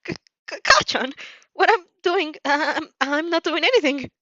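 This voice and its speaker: A woman puts on a shy teenage boy from an anime fan story, very high in pitch. He is easily embarrassed and stammers in jerky bursts, repeating himself as he goes. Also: expressive, unhurried, pushed and bright, no echo, lo-fi sound, exaggerated voice. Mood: flustered, panicked.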